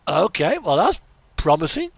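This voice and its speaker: A man's voice: a little high, very animated, moderate pace, creaky, slightly rough, no room sound. A man giving casual, rambling game commentary, thinking aloud and trailing off as he plays. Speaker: streamer playing a truck simulator.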